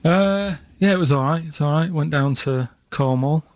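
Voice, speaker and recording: Deadpan rambling man, close dry studio sound. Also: on the high side, no room sound. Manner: deadpan, halting, vague explanations. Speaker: radio show co-host. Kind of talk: casual rambling chat.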